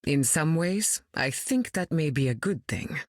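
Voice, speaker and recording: An actress playing a regal, composed mutant heroine in a video game, with a stately, measured manner and dry wit. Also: female voice, sits low, animated, no room sound. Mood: composed, grave. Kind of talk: video game character lines.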